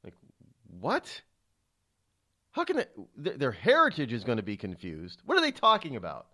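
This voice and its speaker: A man's voice: medium pitch, wildly swooping pitch, normal speed, pushed and bright. A middle-aged man hosting a talk radio show, delivering an indignant, rhetorical monologue with frequent stumbles and fillers.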